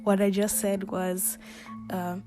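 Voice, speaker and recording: A woman speaking in slow, careful phrases. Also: medium pitch, level tone. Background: music.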